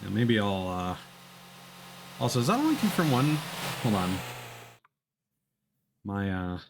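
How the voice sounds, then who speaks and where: A man's voice: fairly low pitch, very animated, a little slow, noisy background. A man thinking aloud in an informal, self-deprecating way while commentating on a gaming livestream. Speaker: video game live streamer. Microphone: headset microphone.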